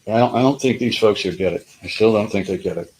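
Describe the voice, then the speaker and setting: A man speaking as a lawyer in a courtroom, making a halting, direct closing argument to a jury. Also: on the low side, darkish tone, a little hiss or hum, poor audio.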